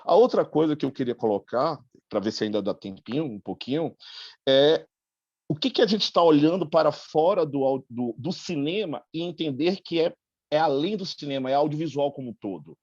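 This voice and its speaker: A man's voice: a little high, lively intonation, moderate pace, a little mellow, no room sound. Brazilian man, muffled and dry, thinking aloud. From Salvador, Brazil. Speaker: film festival organizer and filmmaker on a panel. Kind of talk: spontaneous panel commentary.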